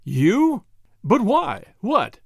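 Guy putting on a haggard flustered english lord for an audiobook, spluttery and emphatic, really animated, dry recording. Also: sits high, normal speed, vocal fry, dry recording, exaggerated voice. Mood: indignant, bewildered. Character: haggard English nobleman, agitated, bewildered, spluttering man.

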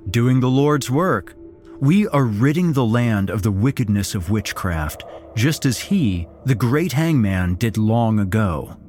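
A man's voice: medium pitch, animated, unhurried, a little mellow, soft background music, pitched above the performer's own voice, close to an everyday voice. A man voicing a smug, devious young male cultist who speaks with cold self-righteousness.

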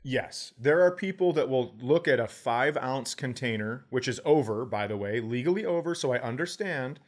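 A man's voice: mid-range voice, animated, moderate pace. A man telling stories in a casual comedic way as a podcast host, sarcastic and swearing freely.

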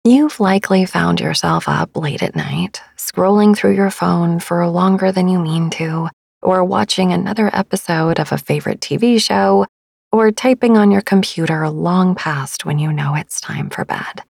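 A woman hosting a sleep meditation podcast in a soft, soothing, hushed voice that slips toward a whisper. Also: on the low side, animated, normal speed, creaky, husky, no echo, clean studio sound. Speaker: podcast host.

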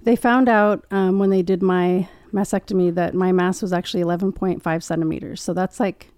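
A woman in her forties, a podcast guest, talking quietly and softly with frequent hesitations. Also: lowish voice, steady tone, a little fast, no room sound, studio quality. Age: 40s. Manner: quiet, soft-spoken, hesitant with fillers. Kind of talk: casual interview chat. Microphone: studio podcast mic.

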